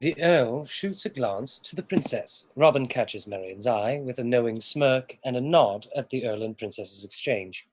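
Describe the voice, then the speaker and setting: A man's voice: mid-range voice, animated, unhurried, few pauses, slightly rough, rough recording. A man reading stage directions as narrator of a radio play.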